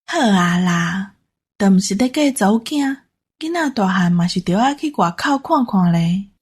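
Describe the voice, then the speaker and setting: A woman's voice: medium pitch, expressive, measured pace, darkish tone, husky. A woman voicing a practical, talkative old village grandma with a Taiwanese accent in an audio drama. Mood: gently scolding. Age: older.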